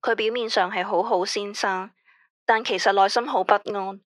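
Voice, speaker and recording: A woman plays an obsessive late-night caller in a Cantonese radio drama, her Hong Kong accent quiet and unsettlingly matter-of-fact. The voice sits mid-height and is recorded dry and close, with no room around it. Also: mid-range voice, steady tone, moderate pace, no echo, lightly acted. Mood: uneasy. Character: anxious, obsessive female late-night caller, eerily calm.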